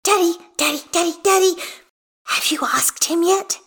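A woman voicing an excitable young girl, bossy and playful, in a storybook narration. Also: very high-pitched, normal speed, vocal fry, pitched above the performer's own voice, heavily acted. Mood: pleading. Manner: eager, dramatic declarations and pleas.

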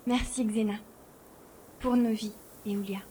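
A woman playing a spirited, resourceful young girl in a dramatised audiobook, lively and assured in her delivery. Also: fairly high pitch, measured pace, husky. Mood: warm, determined. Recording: faint background noise.

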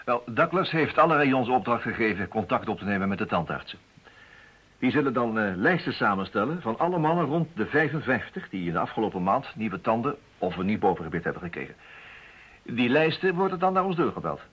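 An actor playing an eager, determined investigator in a radio play, urgent and persuasive. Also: male voice, medium pitch, big pitch swings, fairly quick, a bit bright, husky. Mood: brisk. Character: eager, determined male amateur investigator, impatient with police. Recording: dull lo-fi radio drama recording.

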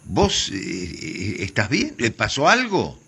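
A man's voice: fairly low pitch, huge pitch swings, slow, sharp tone, husky, full character voice. An actor with an Argentine accent playing a shy, flustered theatre man who stammers and repeats his words in a radio drama. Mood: startled, flustered.

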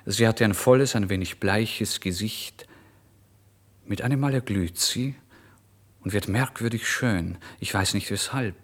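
A man's voice: on the low side, unhurried. An actor playing an impoverished, irritable and impulsive male writer, telling his story in a theatrical, emphatic radio monologue. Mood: wondering, unsettled. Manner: theatrical, emphatic, swinging between hushed and loud. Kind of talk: literary first-person radio monologue, dramatised narration.

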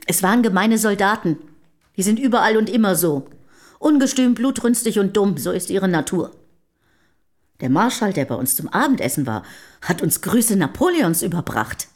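A proud society wife in a radio drama. Her mid-pitched voice is composed and formal, with curt, dignified phrasing that keeps a cool guard up. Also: big pitch swings, moderate pace. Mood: cool, dismissive. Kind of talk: German radio play acting.

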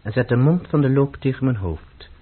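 An actor playing a grave, troubled man in a radio play, speaking formally and deliberately with a husky voice. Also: medium pitch, expressive. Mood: calmly instructing. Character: grave, troubled man obsessed with purity, calmly instructing. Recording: muffled, phone-like old radio recording.

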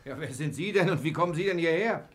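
A man's voice: fairly high pitch, animated, fast, natural voice. An actor playing a cheeky, fearless male stowaway in a German radio crime drama. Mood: startled, puzzled. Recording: noisy 1960 radio play recording with clicks.

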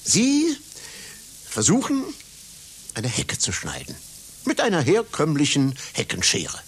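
An actor in a radio satire playing an obsequious, self-pitying advertising man who flatters and persuades earnestly. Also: on the high side, slow pace, slight background noise, heavily acted. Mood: eager pitching. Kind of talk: satirical radio play acting.

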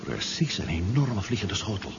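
An actor playing a nervous but determined man on a deep-sea spy mission in a 1970s radio drama, anxious and questioning. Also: very animated, normal speed. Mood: watchful. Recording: dull, noisy 1970s radio recording.

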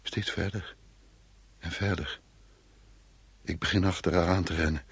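An actor playing an anxious, irritable man fleeing war in a radio play, with a husky voice. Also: deep voice, fairly even intonation, measured pace, no room sound, heavily acted. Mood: brooding, dreamlike. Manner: agitated, commanding, brooding when narrating. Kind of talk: Dutch radio play acting.

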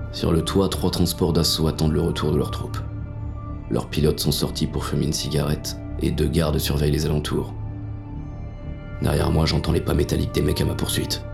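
A man's voice: rumbling low, gravelly, exaggerated voice. An actor playing a crude, confused man on the run, narrating in the first person with colloquial dialogue in an audio drama. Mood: tense narration. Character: confused hunted man, crude and lustful, narrating his escape.

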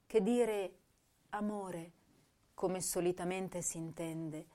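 An actress playing an intense, tender young woman poet, reading letters and verse in a lyrical, measured, dramatic way for a radio drama. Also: lowish voice, steady tone, mellow. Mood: calm, tender.

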